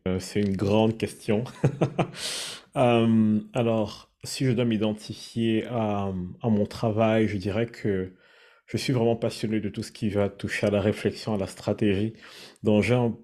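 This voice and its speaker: A man from Cameroon, sharing his personal story in a thoughtful, measured way. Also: fairly low pitch, warm tone. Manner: thoughtful, measured, searching for words. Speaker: guest sharing his testimony. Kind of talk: reflective personal testimony.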